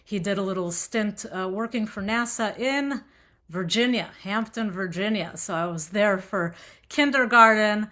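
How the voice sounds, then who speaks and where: A woman's voice: mid-range voice, unhurried, pushed and bright. A middle-aged woman from Israel with a light Israeli accent, giving a casual talk to a group, chatty and full of fillers and restarts. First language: Hebrew. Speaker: synagogue musician giving a talk.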